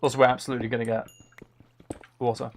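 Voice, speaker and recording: A man's voice: mid-range voice, little pitch movement, fast, slight background noise. A man chatting casually as he live-streams a game, thinking aloud in an easygoing running commentary. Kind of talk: casual chat while playing a game live.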